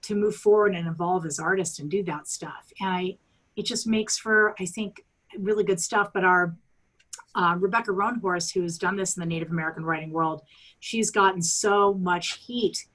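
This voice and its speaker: A woman's voice: on the low side, moderate pace, a bit bright, poor audio. A woman author in casual, chatty conversation over a video call, often saying "you know" and "like". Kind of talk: casual chat.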